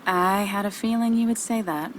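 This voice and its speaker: A woman's voice: medium pitch, steady tone, moderate pace, close to an everyday voice, rough recording. Woman acting teasing female friend, dry playful delivery, natural game dialogue, faint ambient noise. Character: wry, teasing woman recovering from a gunshot.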